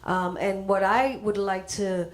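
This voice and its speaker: A woman's voice: mid-range voice, unhurried. A woman telling a personal story to a gallery audience, acting out what people said. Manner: storytelling, animated when acting out quotes. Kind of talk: speaking at an artist reception.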